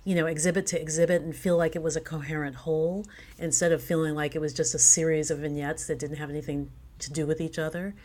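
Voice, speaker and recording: A woman's voice: low voice, level tone, fast, hardly any pauses, darkish tone. A woman speaking as a playwright guest in a conversational interview, earnest and reflective about her work.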